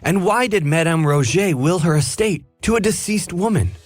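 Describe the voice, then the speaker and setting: An actor playing a calm, inquisitive detective in a game, reasoning aloud in a measured way. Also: male voice, high voice, dry recording, soft background music. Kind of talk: game cutscene voice acting.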